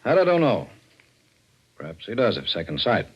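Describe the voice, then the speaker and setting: An actor playing a blunt, skeptical police detective with a brusque, matter-of-fact delivery in a 1940s radio mystery. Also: male voice, lowish voice, wildly swooping pitch, normal speed, breathy edge, heavily acted. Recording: dull, muffled old radio recording.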